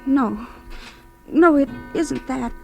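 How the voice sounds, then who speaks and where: A woman's voice: high voice, slow pace, creaky, heavily acted. An actress playing an anxious, hesitant young woman who fears for her sanity, in the style of 1940s radio drama. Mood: falsely bright. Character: frightened, high-strung young woman of twenty-three fearing madness. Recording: old radio recording with music.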